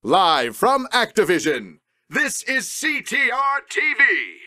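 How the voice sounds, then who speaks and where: A man playing a showy TV race-show announcer in a cartoon game, with a theatrical, drawn-out delivery. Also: high voice, huge pitch swings, pushed and bright, no echo, studio quality, heavily acted. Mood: booming hype.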